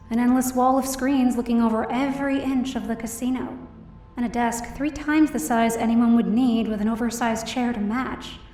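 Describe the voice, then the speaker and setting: A high, earnest female voice from an actress as a hopeful heroine down on her luck. She tells her story expressively, as in an audio drama. The sound is echoey and set back from the mic, with some music behind. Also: a little mellow, close to an everyday voice. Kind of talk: first-person audio drama narration and dialogue. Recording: roomy echo, distant mic, music behind.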